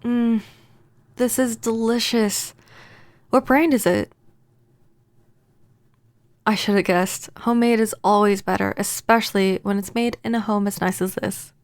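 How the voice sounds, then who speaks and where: A woman playing a gentle, apologetic vampire neighbor in a soft-spoken, hesitant ASMR audio roleplay. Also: mid-range voice, fairly even intonation, a little slow, long pauses, dry recording, natural voice. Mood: bashful, cozy. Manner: hesitant, stammering, earnest.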